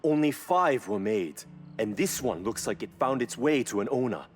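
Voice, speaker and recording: An actor playing a knowledgeable, formal classic car expert in video game cutscene dialogue. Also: male voice, medium pitch, lively intonation, faint music behind, natural voice. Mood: measured admiration. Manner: measured, formal, reverent about cars.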